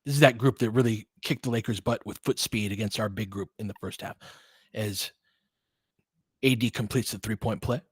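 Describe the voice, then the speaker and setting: A man's voice: fairly low pitch, fairly even intonation, normal speed, husky, dry recording, studio quality. A man with an American accent gives casual live basketball commentary, mixing clipped play calls with informal, chatty asides. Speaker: fan host doing play-by-play commentary.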